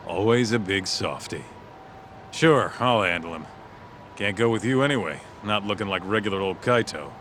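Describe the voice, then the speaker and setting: Low-voiced, animated streetwise tough guy. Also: moderate pace, long pauses, slight background noise. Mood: teasing. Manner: blunt, slangy, swearing. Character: gruff, streetwise tough-guy sidekick, crude but loyal. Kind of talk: video game cutscene acting.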